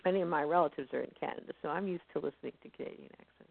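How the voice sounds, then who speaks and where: Female academic guest, measured scholarly delivery, creaky timbre, phone-quality dry audio. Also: deep voice. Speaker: history professor guest. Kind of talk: online radio interview. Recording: tinny phone line, dry.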